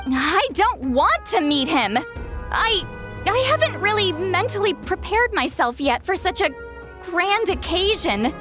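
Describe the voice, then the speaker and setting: A small young female shaman from a video game. Her voice is high and swings expressively, formal in wording but fretful and proud. Soft music sits behind her. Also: sing-song, a little slow, full character voice. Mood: flustered. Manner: formal wording, easily flustered. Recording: studio voice over background music.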